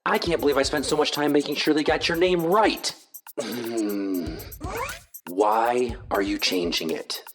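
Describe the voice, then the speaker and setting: An actor playing a cocky, sarcastic armored superhero with a dismissive manner in an audio drama. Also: male voice, medium pitch, animated, a little slow, fairly bright tone, soft background music. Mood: sarcastic, exasperated. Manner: snarky, dismissive.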